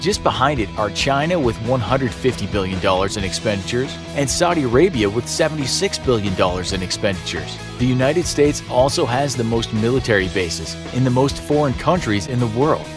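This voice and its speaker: Male documentary narrator, even fact-by-fact read, guitar music bed. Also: medium pitch, a little fast, few pauses. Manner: steady, fact-listing delivery. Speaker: narrator of a played video.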